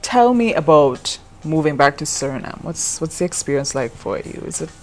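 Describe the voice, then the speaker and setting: Deep-voiced woman hosting an interview. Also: animated, darkish tone. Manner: enthusiastic, quick questions, frequent laughs and agreement. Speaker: interview host. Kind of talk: casual interview chat.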